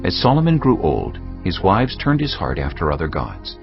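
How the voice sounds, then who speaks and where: Male narrator for dramatised scripture: low voice, solemn measured storytelling, slightly dull recording with music underneath. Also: wildly swooping pitch, creaky.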